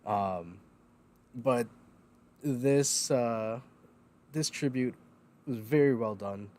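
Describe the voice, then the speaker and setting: A man's voice: medium pitch, extremely slow, darkish tone. A man hosting a casual livestream chat, rambling with lots of ums, feeling a bit loopy and foggy. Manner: rambling, many ums and uhs, laughs at himself. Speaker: host.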